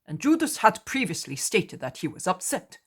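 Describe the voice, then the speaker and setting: A man's voice: high-pitched, big pitch swings, moderate pace, fairly bright tone, slightly rough, pitched above the performer's own voice, full character voice. A woman voicing Philip, a practical adult male disciple who speaks in a matter-of-fact way.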